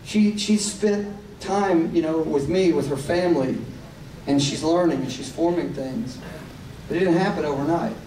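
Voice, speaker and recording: A man's voice: fairly high pitch, normal speed, warm tone. A man in his thirties with a Southern Tennessee accent, preaching a casual, joking live sermon that swells into fervor. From Tennessee, USA. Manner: casual, joking, drawn out, rising to fervent. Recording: echoey hall, distant mic, room noise.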